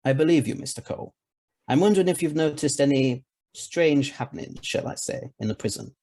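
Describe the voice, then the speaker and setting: A man's voice: medium pitch, expressive, fairly quick, mellow. A man acting in an audio drama as a courteous, inquisitive investigator, speaking formally and politely. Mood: polite, probing.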